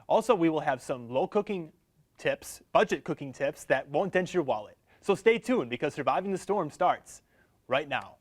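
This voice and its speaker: A man's voice: on the high side, lively intonation, moderate pace. A young man hosting a student-produced studio program, reading his lines a bit stiffly and eagerly. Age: young adult. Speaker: student show host.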